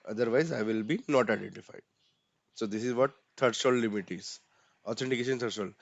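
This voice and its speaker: A man with an Indian accent walks through software settings step by step. He talks casually, with many fillers and a frequent "right?" at the end of his points. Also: mid-range voice, fairly quick, gravelly, dry recording, muffled recording. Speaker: instructor.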